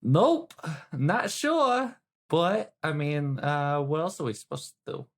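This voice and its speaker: A woman's voice: low voice, big pitch swings, slow. A woman improvising as a player in a tabletop role-playing game, with a flippant, slangy, hesitant way of talking. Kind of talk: casual improvised in-character chat.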